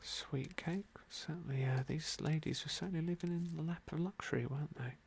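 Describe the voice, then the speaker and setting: A man softly narrating a fantasy game as an ASMR roleplay, unhurried and with formal, genteel phrasing. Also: fairly high pitch, gravelly, slight background noise. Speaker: host of an ASMR game playthrough.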